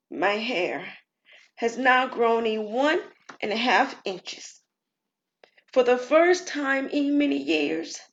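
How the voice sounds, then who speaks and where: A woman's voice: high voice, vocal fry, highs cut off, exaggerated voice. A woman voicing a frail elderly woman's tender farewell letter in a spoken short story.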